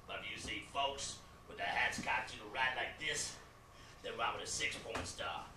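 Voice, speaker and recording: A man doing a swaggering gang inmate who talks in slangy, profane street speech. He sounds distant and roomy, with steady noise behind him, as in a live stage recording. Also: medium pitch, normal speed, natural voice.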